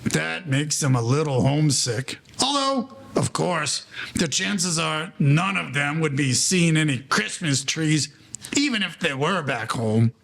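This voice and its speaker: A man's voice: fairly high pitch, expressive, a little slow, vocal fry, a bit bright, full character voice. A man playing the wry narrator of a 1940s gangster audio play, telling the story in droll, formal slang.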